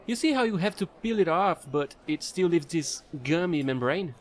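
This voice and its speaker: Guy doing a excitable chatty alien angel for audio roleplay, high voice, really animated, bubbly and rambly. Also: normal speed, lightly acted. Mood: eager, friendly. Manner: bubbly, gushing, rambling, apologetic. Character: chatty, excitable, offbeat fallen alien angel space trader.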